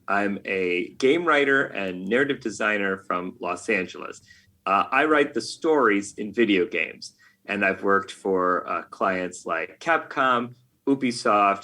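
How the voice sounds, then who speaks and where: A man's voice: on the low side, bright, forceful tone. A man talking casually as an interview guest, telling stories in a jokey, self-deprecating way. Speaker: podcast guest, game writer.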